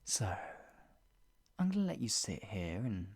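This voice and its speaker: An actor playing a sadistic, gloating yandere in an ASMR roleplay, with a breathy, husky voice that often drops to a whisper. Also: mid-range voice, big pitch swings, a little mellow, full character voice. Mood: cold threatening. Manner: menacing, slow deliberate phrasing with sighs. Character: sadistic, gloating, murderous yandere obsessive taunting a captive. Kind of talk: improvised ASMR roleplay, intimate monologue.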